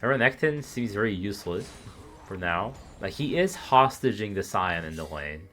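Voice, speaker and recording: A man casually commentating a live esports match on his stream, relaxed and laughing often. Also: lowish voice, normal speed.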